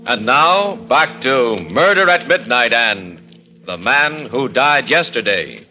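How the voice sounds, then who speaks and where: A man announcing a 1940s radio horror drama in an ominous, theatrical, drawn-out manner. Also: medium pitch, expressive. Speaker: announcer, narrator. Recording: muffled vintage radio recording with organ. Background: loud organ music.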